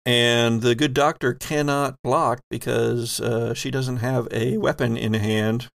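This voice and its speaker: A man's voice: mid-range voice, steady tone, slow, creaky, a bit bright, no room sound, clean studio sound. A man running a tabletop role-playing game as game master, improvising descriptions and explaining rules in a conversational way.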